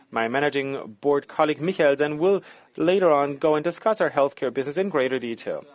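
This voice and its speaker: A man delivering a formal, scripted business presentation in a measured way, as a corporate executive reporting results. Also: a little high, no echo.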